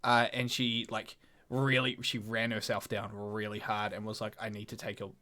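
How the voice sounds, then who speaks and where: A young man, co-hosting a podcast in animated, sweary casual chat. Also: lowish voice, moderate pace. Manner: animated, swears, mock voices. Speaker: podcast co-host.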